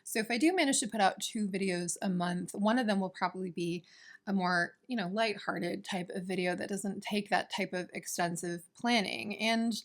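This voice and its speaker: Chatty thirty-year-old woman with gravelly fry. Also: mid-range voice. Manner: chatty, self-deprecating, quick asides. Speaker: vlogger and graduate student. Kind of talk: casual talking-to-camera vlog. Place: apartment in New York.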